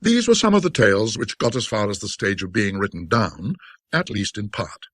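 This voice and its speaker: A low-voiced man reads ghost stories in an old-fashioned, theatrical literary manner. His voice is husky and moves expressively up and down, with scholarly wryness and well-placed pauses. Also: big pitch swings, no room sound, studio quality. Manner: wry, scholarly, self-deprecating storyteller, with dramatic pauses. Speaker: narrator of classic ghost stories.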